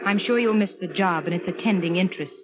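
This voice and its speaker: An actress playing a jealous, controlled wife with a menacing, pointed manner in 1940s radio drama. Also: female voice, lowish voice, quick pace, lightly acted. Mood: cold menace. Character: coldly menacing jealous wife piloting a small plane. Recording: noisy, tinny 1940s radio recording.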